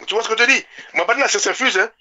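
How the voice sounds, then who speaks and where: Male radio talker, French Caribbean accent, quick and high-voiced, impassioned, repeats himself for emphasis. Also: bright, forceful tone, highs cut off. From French Antilles. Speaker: radio show speaker. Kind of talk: impassioned informal political discussion.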